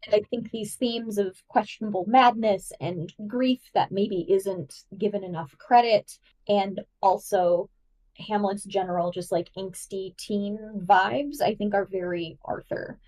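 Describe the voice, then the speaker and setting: Woman co-host, casual and excitable, filler-heavy, slightly off-mic. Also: mid-range voice, unhurried, distant mic, low-quality recording. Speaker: podcast co-host. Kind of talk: enthusiastic explaining in casual chat.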